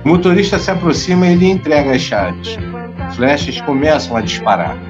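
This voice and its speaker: A man's voice: fairly high pitch, sing-song, normal speed, dull audio, music in the background. A man reading scripted narration for an audio drama as its narrator.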